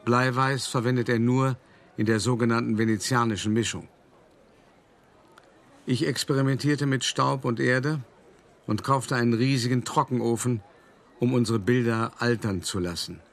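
An actor playing a cultured, self-satisfied male art forger, confessing in a measured, reflective, articulate radio drama monologue. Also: mid-range voice, steady tone, natural voice. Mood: precise, proud. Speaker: first-person narrator.

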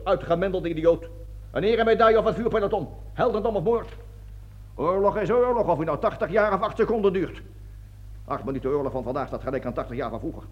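An actor playing a pompous, fanatical army colonel with a declamatory manner in a satirical radio play. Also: male voice, sits high. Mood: barking, insistent. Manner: declamatory speeches, barking orders, stammering when flustered. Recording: dull lo-fi radio drama recording.

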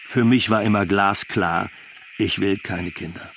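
An actor playing a blunt, cynical older husband in a documentary radio play monologue, with sardonic delivery. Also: male voice, lowish voice, steady tone, unhurried, a bit bright, a little hiss or hum.

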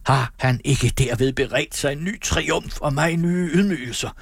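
A man's voice: on the low side, lively intonation, slightly rough, no echo. Man reading as a bitter, melodramatic rejected suitor. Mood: furious. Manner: melodramatic, declamatory. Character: bitter rejected suitor, pleading then raging and threatening.

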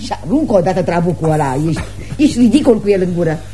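A female actor plays a brash, world-weary mother in radio theatre. Her dark-toned voice leaps about as she turns sardonic and scolding, over a faint noise. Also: fairly low pitch, expressive, lightly acted. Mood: dismissive.

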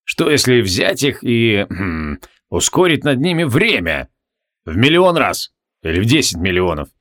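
A man voicing a proud, irritable mage in a fantasy audiobook, muttering tersely and pausing to think. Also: medium pitch, big pitch swings, fairly bright tone. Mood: pensive. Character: proud, irritable male mage, gruff and brooding.

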